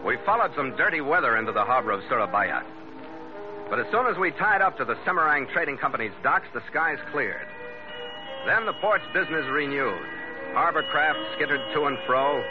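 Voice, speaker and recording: A muffled vintage radio recording with music carries a man acting a tough skipper. He is terse, clipped and hard-boiled, with a mid-pitched voice in 1940s radio drama style. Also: expressive, a little fast, lightly acted. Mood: hard-boiled narrating. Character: tough, terse male ketch skipper narrating his log.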